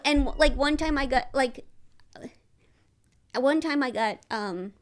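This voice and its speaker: Woman comedian guest, high voice, quirky and self-deprecating, rambling delivery. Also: slow pace. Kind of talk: casual comedic interview.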